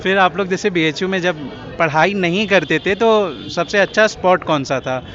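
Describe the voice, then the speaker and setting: A man's voice: sits high, moderate pace, fairly bright tone. A man interviewing university graduates, friendly, calling them brother and sister, in an informal chat outdoors. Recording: muffled outdoor recording with crowd noise.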